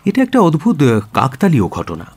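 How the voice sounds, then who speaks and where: An actor playing a detective's earnest companion, narrating a radio drama in a measured, expressive, descriptive way. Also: male voice, a little high, husky, exaggerated voice. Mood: puzzled, earnest. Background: music.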